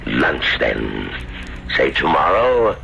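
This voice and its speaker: A suave, predatory lender in a vintage radio drama. His voice is extremely deep and gravelly, and he speaks slowly with deliberate insinuation. The sound is slightly muffled. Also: sharp tone, slight background noise, full character voice. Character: scheming, predatory male moneylender. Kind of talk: 1970s radio drama acting.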